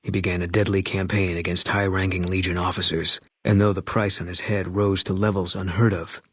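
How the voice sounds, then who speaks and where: A man's voice: low-pitched, little pitch movement, brisk, a bit bright, husky, no room sound. A man reading solemn scripted ending narration for a video game. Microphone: dry studio mic.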